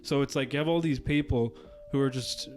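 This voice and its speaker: A man's voice: medium pitch, brisk, faint music behind. An Irish man from Galway co-hosting a podcast, ranting in a sweary, exasperated way. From Galway, Ireland. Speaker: podcast co-host. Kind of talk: casual chat.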